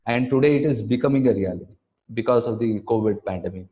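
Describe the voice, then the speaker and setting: A male panelist giving a webinar talk over a video call, pausing often with fillers. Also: mid-range voice. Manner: hesitant, many fillers. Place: calling in from home by video call. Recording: dull lo-fi call audio.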